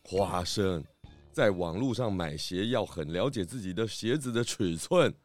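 A man's voice: low voice, expressive, a little slow, faint music behind. Man playing a blustering, vain detective chief in a radio drama. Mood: fussy, lecturing. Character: vain, evasive detective chief, trench-coat lover, bossy but good-humored. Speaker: lead character of children's detective audio drama. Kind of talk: children's radio drama acting.

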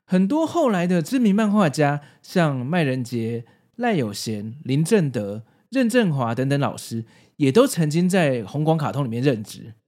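A man's voice: a little high, sing-song, moderate pace, few pauses, darkish tone. A man with a Taiwanese Mandarin accent, hosting a podcast with narration and casual commentary. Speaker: podcast host.

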